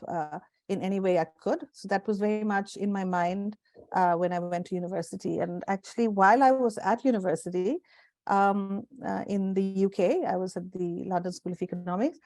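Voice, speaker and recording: A woman's voice: medium pitch, dark tone, dry recording. A middle-aged woman speaking as an interview guest over a video call, thoughtful and full of fillers and restarts. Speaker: guest, executive director. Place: home room indoors. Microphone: earphone headset on video call.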